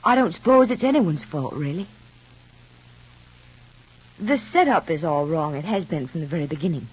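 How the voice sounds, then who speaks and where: An actress in an old radio soap opera playing a frank, insistent, unhappily married woman, speaking earnestly and deliberately. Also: sits low, animated, breathy edge. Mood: weary, troubled. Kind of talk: 1940s-50s radio soap opera acting. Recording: muffled old radio recording.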